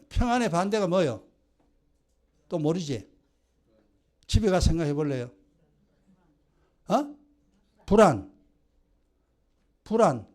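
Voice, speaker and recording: An older man with a Gyeongsang Korean accent preaches, scolding his listeners with pointed rhetorical questions. His voice sits high and leaps across a very wide range, rising sharply when he presses a point. He talks straight to the congregation. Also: a little mellow. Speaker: pastor. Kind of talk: sermon.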